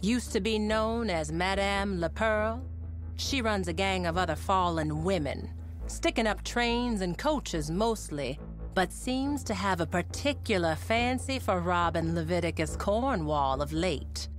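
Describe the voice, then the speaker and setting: An actress playing a bounty office woman who gives a brisk, businesslike job briefing. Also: medium pitch, lively intonation, faint music behind, natural voice. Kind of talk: video game cutscene acting.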